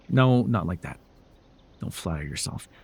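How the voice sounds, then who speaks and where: Man acting a husky, theatrical supervillain. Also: low voice, animated, measured pace, a little mellow, heavily acted. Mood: teasing, softening. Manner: theatrical, grandiose, flustered, dryly condescending. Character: proud, sardonic male telepathic supervillain with hidden tenderness. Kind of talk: ASMR roleplay voice acting.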